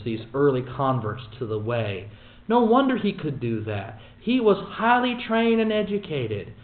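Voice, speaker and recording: A man teaching a Bible study in a lively, emphatic way with wry asides. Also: mid-range voice. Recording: echoey room over a tinny phone-like line.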